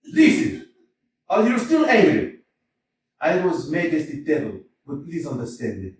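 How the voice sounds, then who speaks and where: An actor playing a hot-tempered middle-aged landowner in a staged comedy reading, swinging from mutters to loud outbursts. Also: male voice, on the high side, wildly swooping pitch, measured pace, a little hiss or hum. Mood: pleading. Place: community theater stage. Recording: echoey hall, mic from far off.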